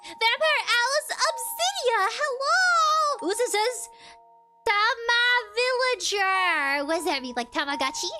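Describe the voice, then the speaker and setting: A woman's voice: very high-pitched, animated, measured pace, over music. A woman streaming a game, chatting casually with viewers in a bubbly, giggly way. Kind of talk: casual live-stream chat while gaming.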